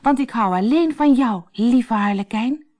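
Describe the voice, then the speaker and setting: A woman's voice: fairly high pitch, a little slow, a little mellow, pitched above the performer's own voice, close to an everyday voice. A woman voicing a sly, haughty young woman in love, theatrical and exclamatory, for a storybook. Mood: eager, loving. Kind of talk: storybook character acting.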